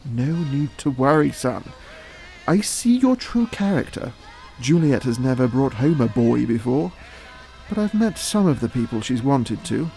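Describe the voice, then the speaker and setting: A man playing a middle-aged father with a mellow, gravelly voice that swings playfully. He is worldly and provocative, a smooth talker in an audio drama who likes to tease. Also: mid-range voice, big pitch swings, faint music behind, rough recording. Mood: teasing, knowing. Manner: smooth-talking, provocative, theatrical, unhurried.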